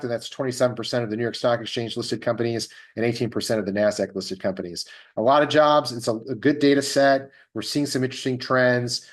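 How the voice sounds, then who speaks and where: A man hosting a market update, presenting data in a measured, analyst-like business delivery that stresses the figures. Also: mid-range voice. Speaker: host.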